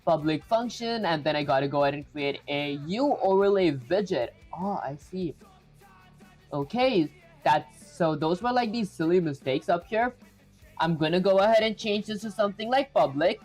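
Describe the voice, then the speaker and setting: A woman streaming herself programming, chatting casually and thinking out loud with lots of "like" fillers. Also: fairly low pitch, animated, moderate pace, soft background music. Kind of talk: casual live coding stream.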